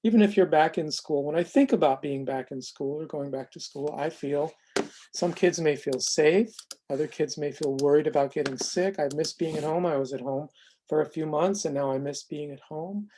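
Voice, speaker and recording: A presenter giving an explanatory software demonstration in a measured way, often pausing mid-sentence. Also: mid-range voice, a little hiss or hum. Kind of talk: semi-scripted explanatory demo narration.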